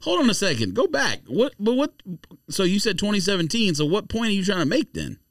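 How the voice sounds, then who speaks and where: A man in casual podcast banter, with a fairly high voice that moves around a lot in pitch. He is argumentative and interjects often. The sound is close and dry. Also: very animated, brisk, no room sound. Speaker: podcast co-host.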